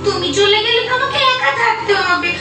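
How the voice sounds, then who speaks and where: Shrill, bright little sister. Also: female voice, brisk, slight background noise. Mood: pleading. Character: clingy, playful little sister. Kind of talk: puppet show drama. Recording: very echoey, distant, faint music.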